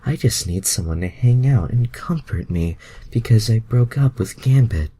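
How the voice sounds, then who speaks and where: Man acting flirty comic-book heroine, heartbroken, husky, swinging intonation. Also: lowish voice, lively intonation, moderate pace, dark tone. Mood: flirty, pleading.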